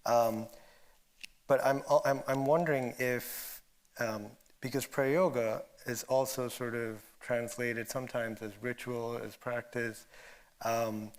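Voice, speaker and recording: A man moderating an academic discussion, asking long unscripted questions in a halting, thoughtful way. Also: mid-range voice, steady tone.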